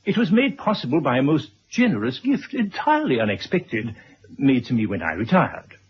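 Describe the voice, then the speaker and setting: A man playing an older, retired gentleman in a radio drama, polite, precise and gently fussy, with a husky voice. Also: mid-range voice, big pitch swings, moderate pace, a little hiss or hum, natural voice. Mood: earnest, gentle. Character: courteous, fussy elderly retired man writing a memoir. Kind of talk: 1970s radio drama acting. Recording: dull vintage radio recording.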